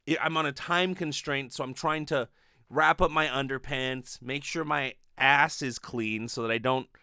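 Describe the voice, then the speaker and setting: A man in his thirties hosting a podcast, delivering an animated, rambling, sweary comedic monologue into a close studio microphone. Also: fairly high pitch, smooth, dry recording. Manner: animated, profane, rambling storytelling. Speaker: podcast host. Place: small bedroom, indoors. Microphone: close desk studio microphone with pop filter.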